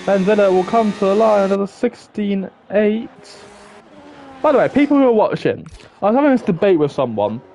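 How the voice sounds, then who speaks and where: A man's voice: high voice, lively intonation, normal speed, gravelly, dark tone, a little hiss or hum. A young man giving live, chatty commentary on an online racing league, thinking aloud and talking to viewers. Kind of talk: live esports race commentary.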